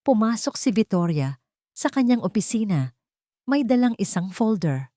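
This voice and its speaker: A woman's voice: medium pitch, big pitch swings, moderate pace, dark tone, no echo, clean studio sound. A woman with a Filipino accent reading a scripted dramatic story as its narrator.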